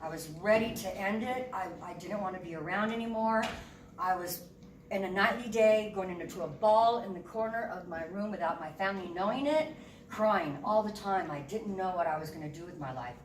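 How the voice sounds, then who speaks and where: A candid, animated woman in her fifties tells her own story from a stage, her voice sitting in a middle register. She sounds far from the mic, with a roomy echo and steady background noise. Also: mid-range voice, a little fast. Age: 50s. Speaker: guest speaker. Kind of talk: personal testimony speech. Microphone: room mic at a distance. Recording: echoey hall from far off, noisy.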